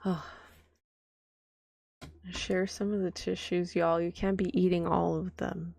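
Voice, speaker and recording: A sick woman streamer talks in a low, deep, gravelly rasp, her voice hoarse and scratchy from a cold. She rambles in a soft, giggly way with frequent coughs. The sound is close and dry, with no room around it. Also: steady tone, slow, pauses often, no echo. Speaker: vtuber streamer. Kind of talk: soft-spoken casual stream chat.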